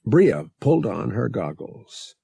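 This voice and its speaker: A male audiobook narrator tells an adventure story in a low, husky voice. He takes his time and swings widely in pitch for drama, with a dry, close sound. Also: big pitch swings, takes it slowly, mellow, no echo. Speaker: audiobook reader.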